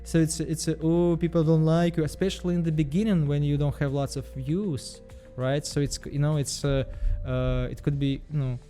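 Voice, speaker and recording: Halting Belarusian man. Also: a little high, flat delivery, warm tone, faint music behind. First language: Russian. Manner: halting, repeats words, frequent fillers. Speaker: vlogger. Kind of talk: casual self-help vlog monologue.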